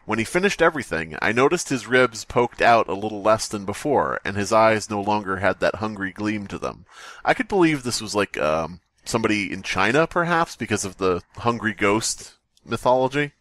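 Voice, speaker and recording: A man's voice: on the low side, big pitch swings, moderate pace, fairly bright tone, no echo. A man co-hosting a podcast, reading aloud and riffing casually with irreverent, jokey interjections. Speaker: podcast co-host. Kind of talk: casual group read-aloud and joking commentary.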